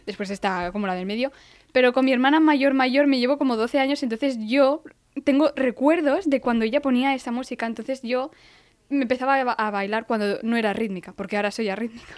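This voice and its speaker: Giggly young woman, high voice. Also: brisk. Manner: giggly, rambling, self-mocking. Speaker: podcast co-host. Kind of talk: casual chat.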